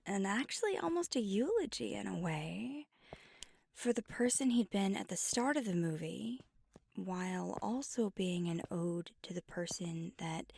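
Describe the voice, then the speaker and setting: A woman acts out a flirty, affectionate female vampire in an intimate ASMR roleplay. Her voice is soft and breathy with a creaky edge, often slipping into a whisper. She takes her time, teasing playfully, and sounds very dry and close. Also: mid-range voice, dry recording. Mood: earnest, reflective. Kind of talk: ASMR roleplay, intimate one-sided dialogue.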